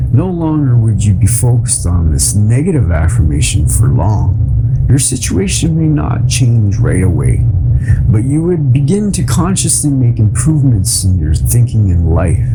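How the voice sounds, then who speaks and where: A man reading an audiobook of memoir and poetry in a deliberate, earnest way. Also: lowish voice, rough recording. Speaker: audiobook narrator. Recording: loud music bed under voice. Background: loud background music.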